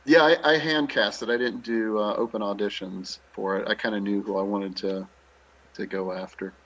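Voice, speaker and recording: Low-voiced man chatting in an interview. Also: fairly even intonation, moderate pace, a bit bright, highs cut off. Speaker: theatre director and actor. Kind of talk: casual interview talk.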